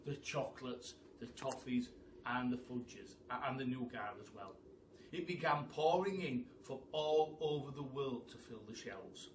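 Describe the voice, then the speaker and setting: A man reading a children's story aloud as a storyteller, in an animated way that speaks straight to his listeners. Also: medium pitch. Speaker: teacher storyteller. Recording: echoey room, distant mic, faint noise.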